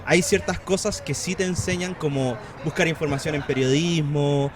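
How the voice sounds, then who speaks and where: A man in his thirties from Chile with a Chilean Spanish accent, chatting casually as a podcast guest, relaxed and full of fillers and slang. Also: fairly high pitch, moderate pace, background noise. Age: 30s. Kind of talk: casual conversation.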